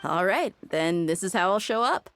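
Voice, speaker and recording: A woman's voice: fairly low pitch, expressive, normal speed, fairly bright tone, soft background music. A woman playing a tough, free-spirited desert mercenary in an English anime game dub, speaking in a confident, plainspoken, easygoing way. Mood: relaxed.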